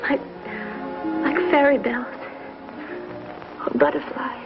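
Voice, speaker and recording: High-voiced, emotional young pioneer wife. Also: female voice, sing-song, takes it slowly, exaggerated voice. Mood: tender, moved. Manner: emotional, theatrical delivery. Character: headstrong, homesick young pioneer wife, softening into tenderness. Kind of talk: 1950s television western acting. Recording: muffled 1950s TV soundtrack with music.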